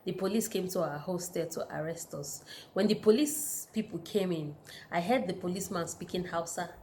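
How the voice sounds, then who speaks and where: A woman's voice: fairly low pitch, normal speed, dark tone, a little hiss or hum. A Nigerian woman in her thirties telling personal stories in a casual sit-down vlog, chatty and full of fillers. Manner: chatty, storytelling with frequent fillers. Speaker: vlogger.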